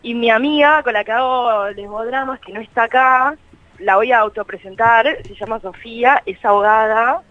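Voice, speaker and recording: A woman's voice: on the high side, moderate pace, dry recording. A woman from Buenos Aires with a porteño accent, chatting casually and a bit giggly as an interview guest over the telephone. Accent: Buenos Aires Argentine. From Buenos Aires, Argentina. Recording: muffled dry phone line.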